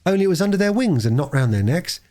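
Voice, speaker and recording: A man voicing a stubborn, excitable young girl in a storybook reading, quick to argue. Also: high-pitched, pitched above the performer's own voice. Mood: argumentative.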